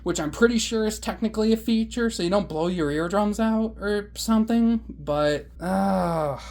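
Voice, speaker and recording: A woman giving a casual, rambling hobbyist review in a vlog, with an animated, enthusiastic and playfully grumbling manner. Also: medium pitch, moderate pace. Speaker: hobbyist reviewer of weather radios.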